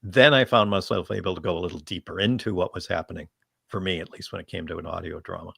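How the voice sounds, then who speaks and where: A man moderating an unscripted panel discussion, phrasing his thoughts in a measured, hesitant way. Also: low-pitched, steady tone, vocal fry, sharp tone, slightly rough, no room sound, clean studio sound.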